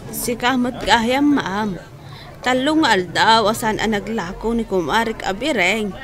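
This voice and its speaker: A woman's voice: fairly high pitch, a little slow, crowd noise, natural voice. A woman playing a gossipy, sympathetic neighbor in a radio drama. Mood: concerned gossip. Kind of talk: radio drama acting in Ilocano. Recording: music and busy outdoor bustle behind.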